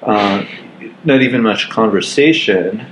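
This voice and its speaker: A man's voice: fairly low pitch, measured pace, a little hiss or hum, low-quality recording. A man reflecting in a casual living-room discussion, building points in repeated phrases. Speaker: podcast guest.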